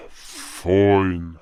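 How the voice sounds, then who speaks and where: A man's voice: sits low, dark tone, smooth, no room sound, studio quality. A man playing a stubborn, weary, injured beast warrior in a fantasy audio drama, terse and grudging. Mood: grudging resignation.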